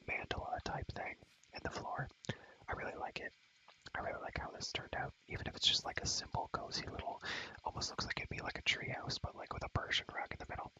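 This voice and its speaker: A man's voice: bright, forceful tone, low-quality recording. A young man whispering ASMR commentary over a game in a rambling, enthusiastic, slangy way, often repeating his phrases. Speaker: ASMR creator showing a game world.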